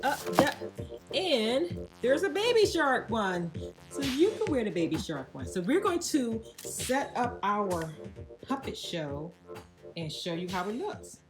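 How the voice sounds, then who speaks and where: A woman's voice: a little high, big pitch swings, measured pace. A woman hosting a casual toy unboxing and demonstration, explaining things simply as if to a child and viewers. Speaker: toy review host. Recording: background music behind the voice. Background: music.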